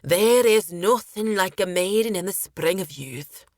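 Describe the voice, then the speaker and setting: A woman's voice: medium pitch, expressive, measured pace, no room sound, studio quality, natural voice. Woman acting a coarse, leering drunken sailor man. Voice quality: gruff.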